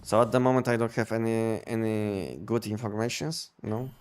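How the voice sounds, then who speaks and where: A man chatting casually on a game livestream, explaining things in a halting, repetitive way. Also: lowish voice, a little mellow, clear, resonant tone. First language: not English. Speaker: game live streamer.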